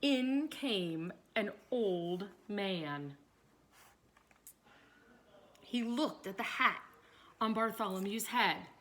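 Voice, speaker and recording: A woman reading a children's story aloud, expressively and deliberately, pausing to address young listeners. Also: mid-range voice, expressive, fairly bright tone. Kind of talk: read-aloud narration with questions to young listeners.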